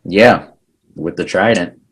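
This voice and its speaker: A reader voicing an anxious, earnest teenage boy hero at a script table read, with a husky voice. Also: low-pitched, slow pace, exaggerated voice.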